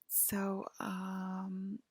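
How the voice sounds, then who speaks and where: A woman doing a soft-spoken, whispery ASMR role-play as a calm alien guide, talking haltingly and matter-of-factly close to the mic. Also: medium pitch, dry recording. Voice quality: breathy, whispery. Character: calm alien abductor guiding a patient aboard a UFO.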